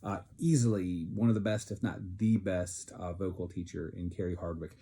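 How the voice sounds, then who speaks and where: A man's voice: sits low, steady tone, normal speed, warm tone, husky. A man hosting a casual chat with his daughter, teasing and joking with many fillers. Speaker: podcast host and father.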